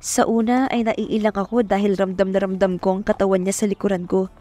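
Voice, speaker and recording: Actress as a shy young Filipina in love. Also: female voice, medium pitch, level tone, fairly quick, dark tone, lightly acted. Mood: shy, nervous. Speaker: narrator and lead actress. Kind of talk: first-person romantic audio drama. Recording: music bed behind voice.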